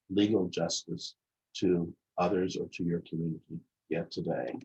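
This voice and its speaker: Low flat-voiced man leading a workshop. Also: highs cut off. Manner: measured, with frequent fillers and restarts. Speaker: workshop leader. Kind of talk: lecture.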